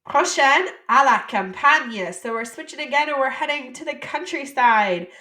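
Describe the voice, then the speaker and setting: A woman teacher from the United States walks students through French vocabulary, pronouncing each word with care before chatting about it in relaxed English. Her voice is bright and on the high side. The sound carries some echo from the room. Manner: reads French words slowly, then explains in casual English. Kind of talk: vocabulary lesson.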